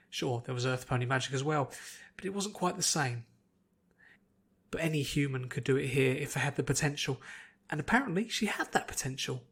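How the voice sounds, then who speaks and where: A man's voice: a little high, a bit bright, husky. A man reading scripted fiction aloud as an audiobook narrator.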